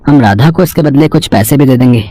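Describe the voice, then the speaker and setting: Man acting a mellow, practical husband, dry sound. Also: mid-range voice, brisk, no room sound, soft background music, natural voice. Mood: casual, practical. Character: practical, reasonable, cautious husband on a work trip. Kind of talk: Hindi moral-story cartoon acting.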